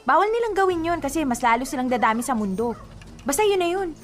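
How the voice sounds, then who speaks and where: An actress playing a guileless, playful young woman in a radio drama, chirpy and expressive. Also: sits high, quick pace. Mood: playful, sweet. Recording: faint music behind.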